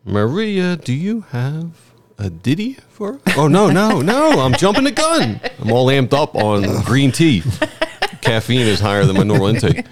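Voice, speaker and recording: A man's voice: mid-range voice, big pitch swings, normal speed. A man hosting a podcast in casual chat, playful and jokey. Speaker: podcast host.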